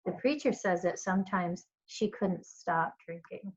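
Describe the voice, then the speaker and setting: A woman's voice: on the low side, a little slow, breathy edge, dull audio. A woman voicing an earnest, curious, polite young girl in a storybook, in a plain questioning way.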